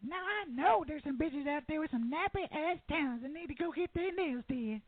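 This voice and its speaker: Woman playing a brash, very high-voiced salon owner. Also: animated, normal speed, no room sound. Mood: brash, sassy. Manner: slangy, sassy sales patter. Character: brash, sassy female beauty salon owner in radio ad. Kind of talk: parody radio commercial. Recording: tinny narrowband audio with music.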